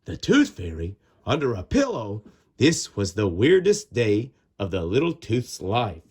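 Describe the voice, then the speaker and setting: Male children's storybook narrator, slightly husky, highly animated, expressive and theatrical with dramatic pauses. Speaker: storybook reader.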